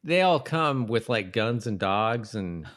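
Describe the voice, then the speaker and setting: A man's voice: fairly low pitch, lively intonation, takes it slowly, fairly bright tone. A man co-hosting a podcast, chatting informally about a TV show in a conversational, easily amused way. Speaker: podcast co-host. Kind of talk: casual chat about a TV show.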